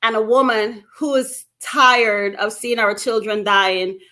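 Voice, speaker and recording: High-voiced middle-aged woman telling her story. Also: takes it slowly, bright, forceful tone. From Guyana and Brooklyn, New York. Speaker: interview guest, anti-gun violence activist. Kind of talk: conversational personal storytelling.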